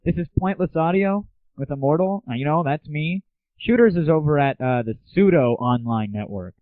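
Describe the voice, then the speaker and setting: A man's voice: fairly high pitch, expressive, moderate pace, dry recording. Young man hosting over tinny, phone-like audio. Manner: irreverent, sarcastic, slangy. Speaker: internet radio show host. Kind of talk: casual gaming monologue. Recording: tinny, phone-like 1990s internet audio, roomless.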